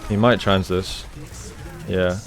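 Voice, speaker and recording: A man's voice: sits low, fairly even intonation, slow. A man giving conversational, explanatory commentary on video game play, as a coach breaking down each move. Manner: explanatory, conversational, often checks with "right?". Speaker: gaming coach, analyst.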